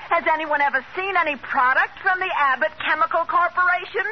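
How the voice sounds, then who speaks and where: Casting: sharp-tongued, animated wife in old radio sitcom; very high voice, hissy vintage sound. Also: female voice, fairly quick, highs cut off. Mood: scornful. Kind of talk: 1940s radio sitcom acting.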